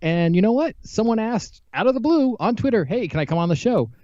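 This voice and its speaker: A man's voice: high-pitched, very animated, fairly quick, dark tone, dry recording. A man hosting a casual podcast interview, chatting with a guest. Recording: slightly dull online-call recording.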